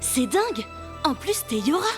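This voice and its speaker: A woman voicing a bright, friendly young girl in a French children's cartoon, with a spirited, expressive delivery. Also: high-pitched, moderate pace, soft background music. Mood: curious. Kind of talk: French animated children's series dubbing.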